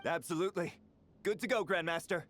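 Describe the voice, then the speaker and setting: A man's voice: high-pitched, a little fast, music in the background, close to an everyday voice. A man voicing a dutiful, eager soldier in a video game.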